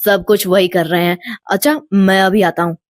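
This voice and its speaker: Woman doing a kind, low-pitched village father. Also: steady tone, moderate pace, dry recording, natural voice. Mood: humble, friendly. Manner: gentle, humble.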